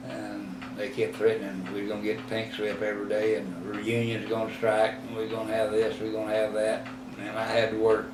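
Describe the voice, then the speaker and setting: Older man, eighties, low voice, monotone, rambling with lots of uhs, far-off mic, echoey room with steady noise. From Texas. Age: 80s. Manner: rambling, halting with many fillers and self-corrections. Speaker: oral history interviewee. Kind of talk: reminiscing in a recorded interview. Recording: roomy, distant mic with clear background noise.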